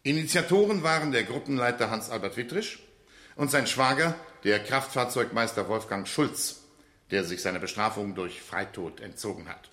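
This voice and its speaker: An echoing room carries the voice of a stern male judge played by an actor in a radio drama. He is mid-pitched, formal and declamatory as he pronounces judgement. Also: a bit bright, lightly acted. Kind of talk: radio drama acting, formal verdict reading. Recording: roomy, echoing courtroom-like acoustic.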